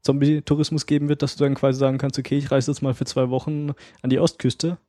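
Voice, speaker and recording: Hoarse, flat-voiced male podcast co-host. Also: mid-range voice, fast talker, dry recording, studio quality. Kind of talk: casual chat.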